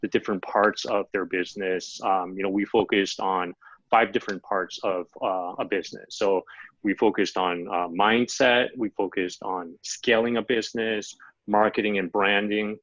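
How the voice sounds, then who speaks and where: An American man in his thirties from Los Angeles, a guest on a podcast, telling his background in a relaxed way with lots of "um" and "you know". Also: on the low side, moderate pace, lo-fi sound. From United States (Los Angeles). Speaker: guest, Amazon seller and sourcing coach. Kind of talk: podcast interview, casual storytelling.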